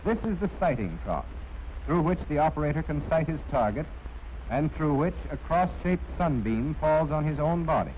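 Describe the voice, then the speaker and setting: An American man reading scripted instructional narration in a formal, measured, precisely enunciated way. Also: on the high side, expressive, a little mellow. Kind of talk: scripted training film narration. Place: narration recorded for an archival film. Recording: dull, noisy 1940s film soundtrack.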